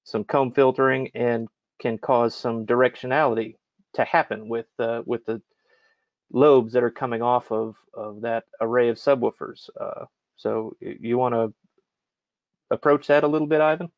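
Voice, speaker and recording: A man hosting a casual chat and putting audience questions, speaking in a hesitant, halting way. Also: mid-range voice, steady tone, no room sound, muffled recording. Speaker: host.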